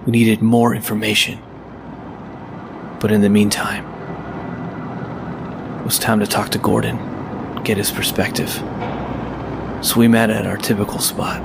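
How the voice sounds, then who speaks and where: An actor playing a grim, guarded vigilante detective, narrating and speaking tersely in an audio drama. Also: male voice, noisy background. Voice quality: gravelly, low. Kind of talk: audio drama first-person narration and dialogue. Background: loud music.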